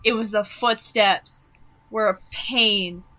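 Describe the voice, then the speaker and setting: A woman's voice: mid-range voice, steady tone, slow pace, pushed and bright, dull audio. A woman giving casual commentary as a fandub sound editor among her group.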